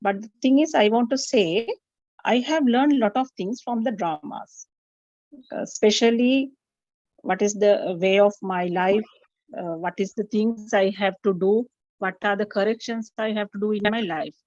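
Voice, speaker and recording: A woman from Sri Lanka giving an online webinar talk, pausing often with filler sounds. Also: medium pitch, a little mellow, no room sound. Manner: hesitant, many "uh" fillers. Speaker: webinar speaker. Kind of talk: presentation talk with many fillers. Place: home during power cut, online call. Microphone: video call. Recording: muffled lo-fi call audio.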